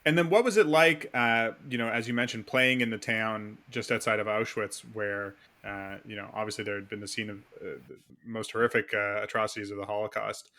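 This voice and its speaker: A man's voice: on the low side, steady tone, fairly quick, hardly any pauses, sharp tone. A man hosting a podcast, asking earnest questions with fillers. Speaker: podcast host, interviewer. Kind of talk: interview questions.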